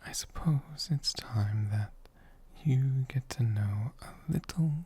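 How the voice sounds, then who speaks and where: A man voicing a sleepy, affectionate dragon shopkeeper in a soft, breathy, whispered ASMR roleplay, with a drowsy and gently formal way of talking. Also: mid-range voice, slow pace, a little hiss or hum, close to an everyday voice. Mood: grateful, affectionate.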